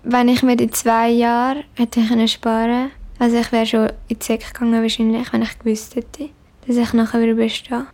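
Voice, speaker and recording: A woman's voice: a little high, monotone, moderate pace, a little mellow. A teenage girl talking casually and tentatively, searching for her words. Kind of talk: casual interview reflection.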